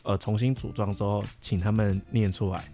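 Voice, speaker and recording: A man's voice: fairly low pitch, steady tone, a little fast, warm tone, soft background music. A young man with a Taiwanese Mandarin accent chatting casually and reflectively as a podcast co-host, explaining things as he goes. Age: young adult.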